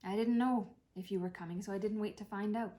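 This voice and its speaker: A woman's voice: mid-range voice, steady tone, a little fast, mellow, lightly acted. A woman reading a play as an anxious, wounded grown daughter of a dying mother, defensive, sardonic and blunt in manner.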